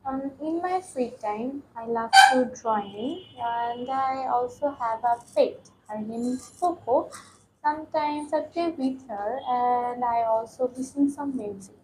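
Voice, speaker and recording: Casting: teen girl, high voice, slow halting answers, faint background hiss. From Bangladesh. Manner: hesitant, frequent ums and pauses. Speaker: test candidate. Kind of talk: mock speaking exam answers.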